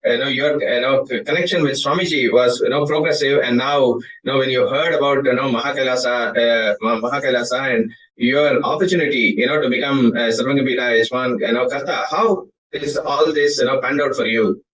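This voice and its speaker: A man's voice: mid-range voice, fairly even intonation, fairly quick, few pauses, a bit bright, distant mic, highs cut off. A man hosting an interview over a call, speaking hesitantly with many fillers.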